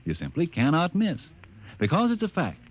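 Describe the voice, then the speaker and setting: A man's voice: on the high side, wildly swooping pitch, moderate pace. A male announcer reading a 1950s radio commercial in a folksy, persuasive way. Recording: muffled old radio recording.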